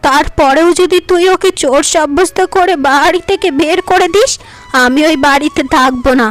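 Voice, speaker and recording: A woman's voice: sits high, moderate pace, natural voice. A woman voicing an elderly, kind village mother-in-law in a cartoon who defends her daughter-in-law. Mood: pleading, defiant.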